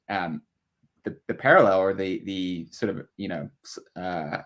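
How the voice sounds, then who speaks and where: Low-voiced man explaining things analytically. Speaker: podcast guest, sports betting analyst. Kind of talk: casual analytical interview talk.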